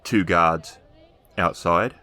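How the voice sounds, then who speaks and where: Slow, low-voiced man narrating. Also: sharp tone, a faint crowd. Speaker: game master, storyteller. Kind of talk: improvised tabletop game narration.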